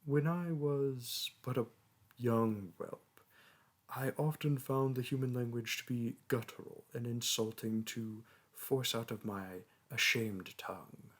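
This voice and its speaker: A man's voice: medium pitch, slow pace, fairly bright tone. Man voicing a pompous, haughty dragon teacher. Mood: lofty disdain. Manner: pompous, archaic formal diction, disdainful. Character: haughty young male dragon teacher, condescending toward humans. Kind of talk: roleplay audio monologue to a listener.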